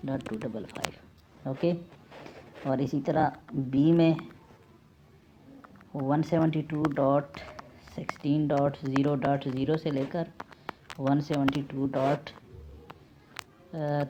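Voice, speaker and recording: A man giving an informal tutorial as an instructor, repeating key terms and mixing in English technical words. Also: medium pitch. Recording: dull lo-fi mic with faint noise.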